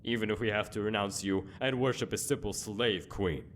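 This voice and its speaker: Male actor as fantasy game inquisitor, low-pitched, solemn, fanatical, self-righteous, speechmaking, slight background noise. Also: lightly acted. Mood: solemn, accusing. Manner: solemn, deliberate, rhetorical speechmaking. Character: zealous old male inquisitor, grave, self-righteous, cracking with anguish. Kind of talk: dramatic monologue in a fantasy game mod.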